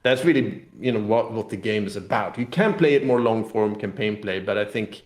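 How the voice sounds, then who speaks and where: A man with a low voice speaks as an interview guest in a roomy, echoing space. He explains things casually, in loose, rambling phrases that often restate themselves. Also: a little fast. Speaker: game designer and CEO, interview guest. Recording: echoey, roomy sound.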